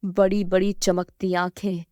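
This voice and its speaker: A woman's voice: on the low side, flat delivery, measured pace, warm tone, no echo, clean studio sound. A woman reading a scripted children's story as the storyteller.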